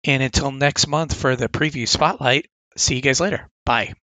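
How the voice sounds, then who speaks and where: A man's voice: medium pitch, normal speed, bright, forceful tone, no echo. A man with a sore throat casually reviewing comic catalog picks, reading blurbs aloud with enthusiastic asides.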